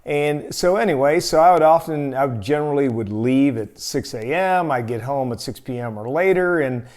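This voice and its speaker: An American man telling a personal story in a casual, folksy, expressive and conversational way as a storytelling host. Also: medium pitch, moderate pace.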